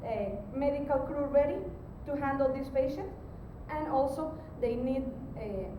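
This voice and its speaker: Female conference presenter, high pitch, explanatory and halting, with rumbly noise under a very reverberant distant recording. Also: dull audio. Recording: very echoey, distant mic, rumbling background noise.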